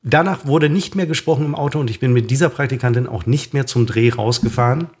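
A man's voice: mid-range voice, fast talker. A man co-hosting a comedic chat, rambling with many fillers, a bit drunk, working himself up into a rant. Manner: ranting, hesitant with many fillers, gets worked up. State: tipsy. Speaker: podcast co-host.

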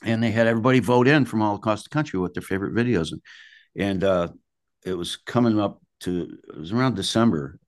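A man's voice: lowish voice, fast, no room sound, clean studio sound. An older man, a musician being interviewed, telling anecdotes in a casual, blunt, salty and colloquial way.

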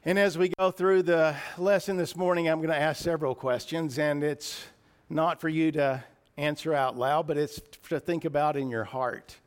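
A man with a raspy voice preaching a sermon in church, earnest and a little emotional, often clearing his throat. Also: a little high, steady tone, normal speed.

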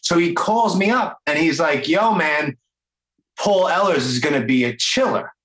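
A man's voice: a little high, expressive, normal speed, fairly bright tone. A man in his forties hosting an interview, talking loosely and with enthusiasm, full of fillers. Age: 40s. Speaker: podcast host. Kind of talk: casual interview chat. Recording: roomy echo.

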